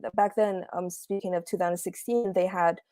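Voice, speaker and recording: A woman's voice: fairly low pitch, fairly even intonation, a little fast, mellow, no echo. A young woman from China, a PhD researcher, giving a livestreamed academic talk on a video call, hesitant with many fillers and restarts. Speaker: guest speaker, PhD researcher.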